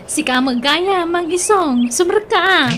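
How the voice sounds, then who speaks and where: High-pitched, easygoing villager. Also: female voice, lively intonation, unhurried, fairly bright tone, heavily acted. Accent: Ilocano. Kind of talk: Ilocano radio drama acting. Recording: faint background noise.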